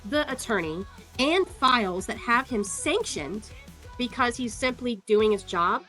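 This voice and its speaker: A woman's voice: a little high, lively intonation, unhurried, bright, forceful tone, faint music behind. A woman giving casual, sarcastic commentary as she walks through court records, scornful and free with swearing. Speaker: online commentator.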